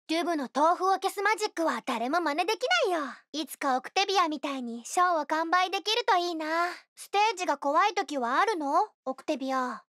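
A woman's voice: very high voice, a bit bright, no room sound, clean studio sound. A woman voicing a cute, cheeky young child stage magician for a Japanese video game, with playful, boastful, theatrical showman patter. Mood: playful, curious.

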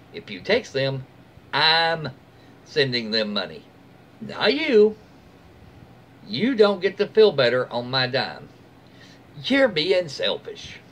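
A middle-aged man reads stories aloud at home in an informal, conversational way. His pitch is mid-range and moves around a lot. Clear room noise sits behind his voice. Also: a bit bright. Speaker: story-reading host. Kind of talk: reading forum stories aloud with casual asides. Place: small room at home, seated in an armchair.

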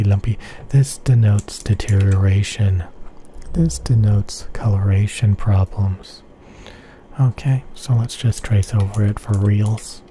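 A man talks through headphone measurements in an ASMR-like manner. He repeats his words and often sinks to a breathy whisper. His pitch sits low and his tone is very dark. Faint noise is heard behind him. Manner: repeats phrases softly, often in unvoiced whispers. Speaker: headphone reviewer. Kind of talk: slow whispery ASMR-style tech explanation.

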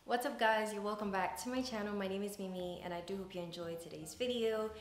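A woman's voice: medium pitch, steady tone, quick pace, heavy background noise. A woman in her twenties from Ghana chatting casually to camera as a vlogger, recorded indoors. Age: 20s. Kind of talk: casual vlog chat to camera. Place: indoor living room, kitchen and bedroom. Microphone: camera a few feet away. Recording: echoey room with music behind.

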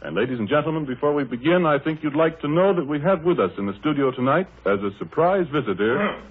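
An American man works as a vintage radio announcer, his pitch swinging in a lively way. His voice is a little husky, and his delivery is polished and persuasive. The recording is thin and band-limited like 1930s broadcasts. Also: fast talker, phone-quality sound.

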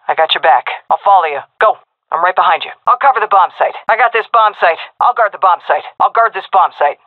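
A woman's voice: mid-range voice, no echo. Tinny-radio female commando. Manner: brisk, cocky military delivery. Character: tough, wisecracking female Navy SEAL frogman commander. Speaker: video game character callouts. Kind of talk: short tactical shooter voice lines.

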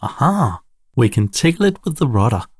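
A man's voice: mid-range voice, very animated, moderate pace, darkish tone, breathy edge, no room sound, pitched above the performer's own voice. Guy doing a worried little robot buddy from a kids storybook, fretful n doubtful but kind, dry sound. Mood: excitable. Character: small anxious robot sidekick, skeptical but kindly.

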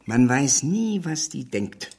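Husky, gossipy village busybody. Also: male voice, mid-range voice, big pitch swings, a little mellow, full character voice. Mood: gossipy, indignant. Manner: conspiratorial, insinuating, drawn-out hints. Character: nosy, gossipy old village man, sly busybody. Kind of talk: German radio crime drama acting.

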